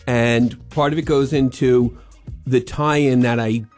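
A man's voice: mid-range voice, fairly even intonation, slow, a little mellow, faint music behind. An older man with a New York accent, a guest on a radio show, talking earnestly and rambling a little about a charity sponsorship. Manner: earnest, rambling, repeats "as far as it". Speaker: sponsor guest, restaurant owner. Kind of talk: radio interview.

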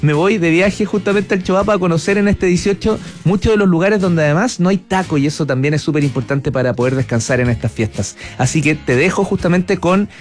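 A man's voice: fairly high pitch, a little fast, hardly any pauses, soft background music. Chatty Chilean male radio host. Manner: chatty, hesitant, with fillers and self-corrections. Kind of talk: casual radio interview.

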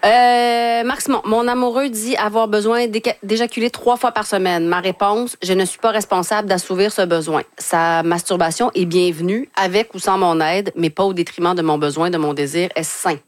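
A woman's voice: mid-range voice, fairly even intonation, normal speed, few pauses, no echo. A woman hosting an advice show, reading listener emails aloud and asking curious questions. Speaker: radio host.

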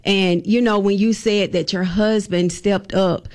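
A woman's voice: medium pitch, steady tone, measured pace, darkish tone. A woman hosting a radio interview, speaking in an informal, effusive and complimentary way. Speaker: radio host. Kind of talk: upbeat, chatty radio interview.

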